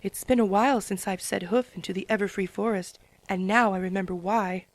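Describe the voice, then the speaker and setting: A regal sun princess who speaks slowly and gravely, every word measured and formal, as a woman reads her. Her voice sits mid-range for a woman, close and dry with no room around it. A storybook ruler carrying bad news with calm weight. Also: mid-range voice, dry recording, close to an everyday voice. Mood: uneasy. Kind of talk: fan dramatic reading.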